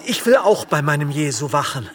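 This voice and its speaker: An actor playing an earnest, troubled believer, reciting verse in a solemn, declamatory way in a German radio play. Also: male voice, on the high side, sing-song, fairly quick, fairly bright tone, slight background noise. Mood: devout, grave. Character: earnest, troubled male believer pondering the Passion.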